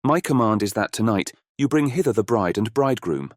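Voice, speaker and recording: A man's voice: on the low side, expressive, a little fast, no echo, clean studio sound, close to an everyday voice. A man playing a bold, commanding young fairy tale hero with formal, assured speech in an audiobook. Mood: indignant, commanding.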